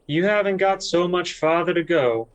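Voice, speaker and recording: A man playing a gentle, naive young newcomer rancher in a western audio drama, with a quiet, hesitant drawl. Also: high-pitched, normal speed, clear, resonant tone, no echo, lightly acted. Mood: tender, dreamy.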